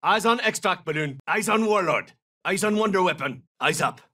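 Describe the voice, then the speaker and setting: An actor playing a tough, terse special forces soldier in a military shooter game, giving short, clipped, commanding tactical callouts. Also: male voice, high voice, lively intonation, normal speed, pushed and bright, distant mic, heavily acted. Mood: terse, focused. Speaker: video game operator voice actor.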